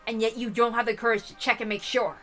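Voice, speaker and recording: A woman's voice: fairly high pitch, flat delivery, quick pace, fairly bright tone, faint music behind, lightly acted. A woman voicing a sullen, guarded teenage servant boy who is blunt and dismissive, in a visual novel reading. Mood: bluntly pessimistic. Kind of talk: visual novel read-aloud character voice.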